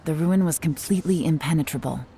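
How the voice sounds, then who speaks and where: A woman playing a cool, composed intelligence chief in a video game, terse, measured and authoritative. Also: low-pitched, noisy background. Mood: measured, analytical.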